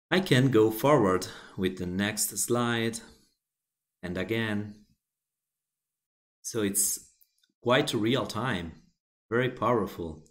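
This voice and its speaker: Slow, low-voiced man walking through software. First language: Italian. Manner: plain step-by-step explaining with frequent restarts. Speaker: software tutorial presenter. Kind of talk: screen-recorded software walkthrough.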